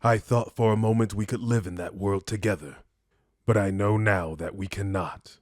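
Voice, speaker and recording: Man as low, eloquent gentleman mutant scientist. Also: moderate pace, dry recording, studio quality, close to an everyday voice. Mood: sorrowful, earnest. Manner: formal, eloquent, earnest. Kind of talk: superhero cartoon character demo.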